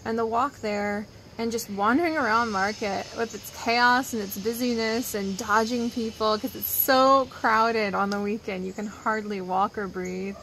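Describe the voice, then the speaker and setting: A woman's voice: a little high, steady tone, a little slow, few pauses, a bit bright, slight background noise, rough recording. A young woman in her twenties talks casually to her viewers from an outdoor wooden deck, rambling and upbeat. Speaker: vlogger. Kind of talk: casual chatty vlog. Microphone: phone or camera held close.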